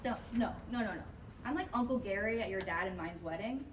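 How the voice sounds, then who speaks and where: A woman's voice: mid-range voice, animated, a little fast, recorded from a distance, close to an everyday voice. A woman playing a brash, sentimental widowed mother in a comedy table read, speaking in an emphatic, earthy way. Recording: echoey noisy room, audience behind.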